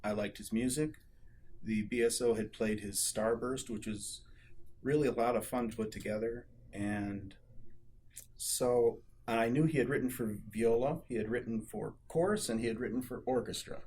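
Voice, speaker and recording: A man's voice: medium pitch, moderate pace, darkish tone. A man in an unscripted interview, explaining things as a conversational storyteller. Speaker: interviewed musician, violist. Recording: noticeable room ambience and noise.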